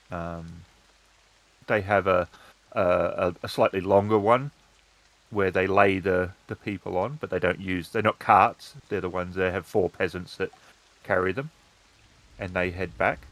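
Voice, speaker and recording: A man narrating a tabletop roleplaying game as game master, in a halting, measured way. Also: deep voice, a bit bright, no room sound.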